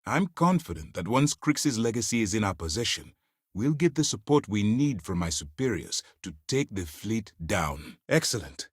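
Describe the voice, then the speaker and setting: A man's voice: on the low side, very animated, moderate pace, slightly rough, no echo, clean studio sound. A man playing a stern, principled military commander in a video game, speaking in a clipped, formal, commanding way. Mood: stern, businesslike. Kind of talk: scripted game character dialogue.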